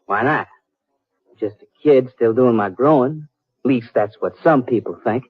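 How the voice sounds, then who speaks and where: An actor playing a defiant, taunting young American cowboy, a younger brother, in an old-time radio Western. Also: male voice, mid-range voice, moderate pace, husky, natural voice. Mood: cocky, insolent. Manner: taunting, folksy. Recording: tinny old radio recording, background noise.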